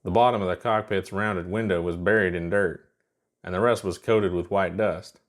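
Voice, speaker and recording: A man's voice: sits low, fairly even intonation, moderate pace. A man narrating a scripted fiction story as its reader.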